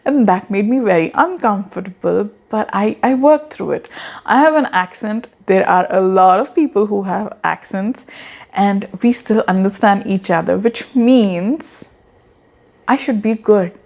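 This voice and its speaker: A woman's voice: mid-range voice, animated, a little slow, gravelly, darkish tone. A woman in her thirties with an accent mixing Indian and American English, giving earnest, encouraging advice to the camera and often repeating words as she talks. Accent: Indian-American blend. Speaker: online business coach. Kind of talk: casual talking-head advice.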